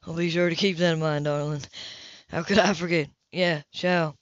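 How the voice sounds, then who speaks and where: A woman's voice: low voice, steady tone, normal speed, no room sound, pitched below the performer's own voice, close to an everyday voice. Woman doing cocky flirty guy in a romance audiobook, casual teasing, dull lo-fi recording. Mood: flirtatious. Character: cocky, flirtatious male racer, smooth and amused.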